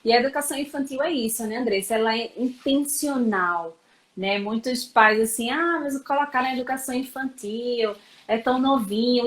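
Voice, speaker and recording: A Brazilian woman educator explaining school methods in a live-stream chat, with many fillers. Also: a little high. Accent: Brazilian Portuguese.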